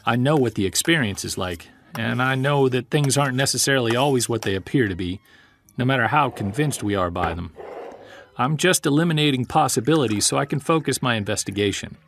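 An actor playing a calm, courteous investigator who explains things in a measured way in an audio drama. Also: male voice, medium pitch, creaky, a little hiss or hum. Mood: calm, reassuring. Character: calm, polite male investigator of mysterious creature sightings. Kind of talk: audio drama dialogue and narration.

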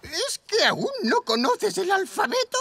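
An actor playing a fussy, formal, easily flustered elderly tutor in a Castilian Spanish cartoon dub. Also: male voice, very high-pitched, very animated, measured pace, vocal fry, sharp tone, dry recording, exaggerated voice. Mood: exasperated. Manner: formal, theatrical, exclamatory. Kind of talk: animated series dub acting.

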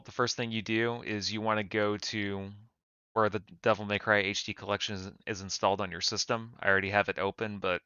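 A man's voice: on the low side, sharp tone, clear, resonant tone, no echo, muffled recording. A man talking informally through a casual how-to screen-capture video. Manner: informal, conversational. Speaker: tutorial video maker.

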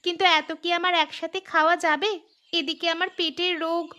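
A woman's voice: very high-pitched, a little fast. Woman voicing a spirited young city bride. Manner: lively, emphatic. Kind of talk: cartoon voice acting.

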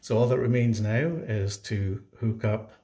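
A man giving a casual how-to narration of a home installation, explaining step by step with halting pauses mid-sentence. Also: fairly low pitch, mellow. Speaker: DIY home installer presenting his project. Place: indoors in a home.

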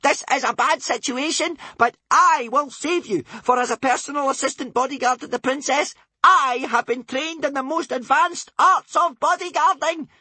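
Man doing a squeaky, flustered young bodyguard. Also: moderate pace, few pauses, bright, forceful tone, no echo, full character voice. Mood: shaky bravado. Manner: flapping, shaky, indignant, boastful. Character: nervous, pompous young male bodyguard, fussy and cowardly.

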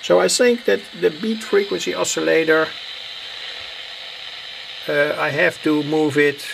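A man giving an unscripted hands-on demonstration as a hobbyist, speaking haltingly in short phrases. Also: a little high, fairly even intonation, gravelly, background noise. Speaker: hobbyist radio builder presenting. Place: indoor home workbench. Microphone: handheld camera's built-in microphone. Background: shortwave radio playing.

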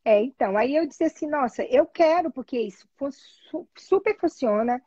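A middle-aged Brazilian woman telling personal stories casually, in an animated, emphatic, chatty way over a video call. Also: high voice, moderate pace, no echo. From Salvador, Brazil. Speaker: guest, therapy trainee telling her experience. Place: small room at home, indoors. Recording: dull, lo-fi video call audio.

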